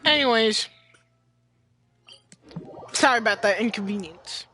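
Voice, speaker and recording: A teenage girl rambling and reacting loudly while commenting on her gameplay. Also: a little high, unhurried, faint music behind. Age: teens. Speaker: gameplay streamer.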